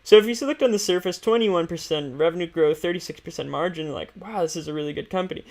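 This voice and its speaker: Casual, slightly high-pitched man explaining, creaky edges. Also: fast, a little mellow. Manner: informal, hesitant, thinking aloud. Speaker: host of an investing stream. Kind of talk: casual unscripted explanation of company finances.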